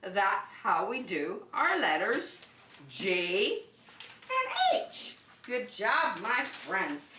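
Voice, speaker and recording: A woman's voice: a little high, bright, forceful tone, a little hiss or hum. A woman preschool teacher addressing young children directly in short, simple, encouraging phrases, recorded in a roomy space at a distance from the microphone. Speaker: preschool teacher hosting story and circle time. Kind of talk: children's lesson with singing.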